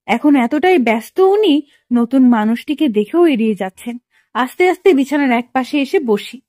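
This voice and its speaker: A woman acts a shy young woman in love in an audio romance. Her voice is high and dry. She sounds bashful and emotional as she speaks and narrates. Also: animated, normal speed, darkish tone, no echo. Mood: shy, bemused. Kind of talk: dramatized first-person love story narration.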